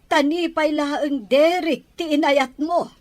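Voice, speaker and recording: A woman's voice: high voice, steady tone, takes it slowly, darkish tone. A woman acting a dismayed, reproachful mother in an Ilocano radio drama. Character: dismayed, reproachful mother scolding her daughter.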